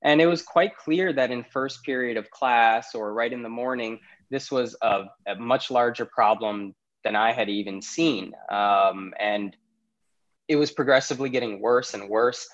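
A man's voice: mid-range voice, unhurried, fairly bright tone, muffled recording. A man from Pakistan, chatting easily as a podcast guest, laughing often between thoughts. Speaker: podcast guest, startup CEO. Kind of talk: casual interview conversation.

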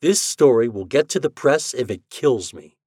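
A man voicing a determined male propagandist writer who talks in a forceful, snapping way. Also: medium pitch, animated, normal speed, husky, dry recording, studio quality, voice pushed up.

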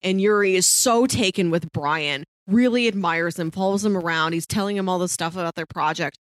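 A woman's voice: on the low side, fairly quick, no echo. A woman co-hosting a podcast, casually talking through a TV series and animatedly retelling it with acted-out quotes. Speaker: podcast co-host.

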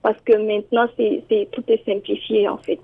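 A woman's voice: medium pitch, little pitch movement, normal speed, vocal fry, slightly rough, no echo. A woman immigration columnist, speaking in a radio interview and hesitating with many fillers and repeated words. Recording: tinny phone line, very dry.

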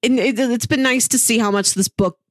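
A woman's voice: on the high side, brisk, dry recording, clean studio sound. A woman co-hosting a casual podcast about comics, talking thoughtfully and fluently. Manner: thoughtful, fluent, frequent "yeah" backchannels. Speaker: podcast co-host. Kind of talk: casual comic-book discussion.

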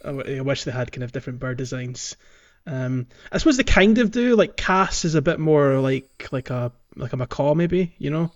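Male podcast co-host, mid-pitched, loose and meandering, amused, chatty delivery, slightly dull lo-fi sound. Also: lively intonation, moderate pace. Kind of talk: casual chat about a video game.

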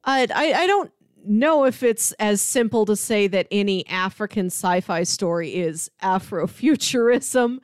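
A woman's voice: mid-range voice, lively intonation, a little slow, few pauses, clear, resonant tone, no room sound. Female casual podcaster, effusive, very varied intonation, dry close sound. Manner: enthusiastic, effusive. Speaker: podcast co-host.

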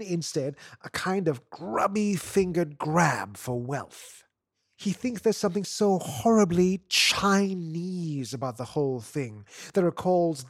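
A man's voice: fairly high pitch, no echo, studio quality. A man narrating a piece of short fiction aloud in a scripted, literary reading style.

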